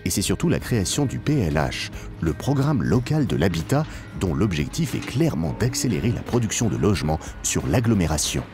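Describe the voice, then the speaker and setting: A man reading a scripted promotional documentary narration in a formal, measured way, with emphatic phrasing. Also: low voice, big pitch swings. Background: background music.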